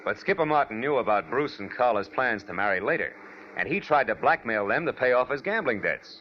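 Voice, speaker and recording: An actor playing a wry, weary private detective in a 1940s radio noir drama, with dry, deliberate, sardonic delivery. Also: male voice, mid-range voice, expressive, lo-fi sound, slight background noise, lightly acted. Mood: explanatory, wry. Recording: band-limited 1940s radio recording with music.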